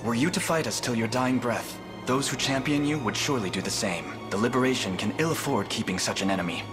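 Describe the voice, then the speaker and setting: Man acting a noble fantasy prince, music loud behind. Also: medium pitch, quick pace, lightly acted. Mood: grave, firm. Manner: courtly, earnest, formal. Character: noble, resolute young prince leading a liberation army. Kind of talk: dramatic fantasy game voice acting.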